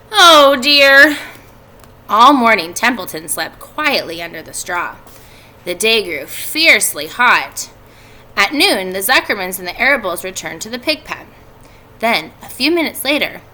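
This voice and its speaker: A woman in her thirties, a schoolteacher speaking to children in a deliberate teacherly way, recorded at home on a webcam. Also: medium pitch, sharp tone. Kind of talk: read-aloud and instructions for schoolchildren. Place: indoors at home by a fireplace. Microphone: computer webcam at desk distance.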